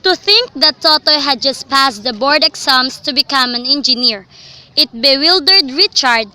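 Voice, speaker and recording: A woman's voice: high voice, lively intonation, normal speed, sharp tone. A young woman reading scripted literary narration for a student radio drama. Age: young adult.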